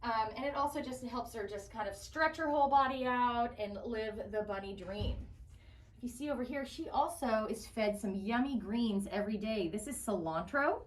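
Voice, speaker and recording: High-voiced woman guiding kids, slightly distant mic. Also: moderate pace. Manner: enthusiastic, simple child-directed explaining. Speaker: animal shelter guide. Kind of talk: educational virtual tour for children. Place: indoor animal shelter rooms. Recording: distant mic, faint background noise.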